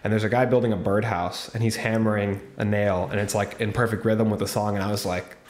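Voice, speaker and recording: A man's voice: on the low side, level tone, quick pace, roomy sound. A man co-hosting a casual movie review podcast, chatting with enthusiasm. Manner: chatty, enthusiastic, lists cast members. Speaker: podcast co-host.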